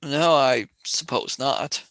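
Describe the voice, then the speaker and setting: A woman's voice: a bass voice, slow pace, fairly bright tone, no echo, full character voice. A performer playing a wry, sardonic young male space traveller who turns sarcastic and wheedling in a radio play.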